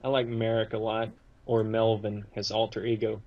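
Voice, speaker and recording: A man's voice: on the low side, completely flat delivery, normal speed, dry recording. A man chatting casually as a podcast guest over a lo-fi voice call. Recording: dull, lo-fi voice call.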